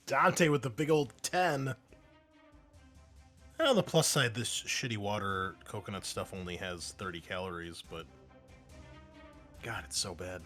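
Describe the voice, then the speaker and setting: A man's voice: fairly low pitch, slow, long pauses, fairly bright tone, faint music behind. A man acting as game master, narrating and chatting in an informal, sweary way. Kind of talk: casual tabletop game narration and chat.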